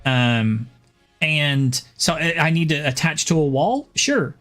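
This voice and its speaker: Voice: medium pitch, lively intonation, takes it slowly, fairly bright tone, soft background music. A game streamer chatting casually about a build, laid-back and often laughing. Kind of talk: casual gameplay chat.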